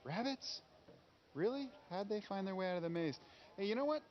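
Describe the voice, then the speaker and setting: An actor playing a relentlessly upbeat, oblivious coach with a brittle temper, full of forced cheer in a screen comedy. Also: male voice, high voice, expressive, brisk, dull audio, a little hiss or hum. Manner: forced cheer, pep-talking.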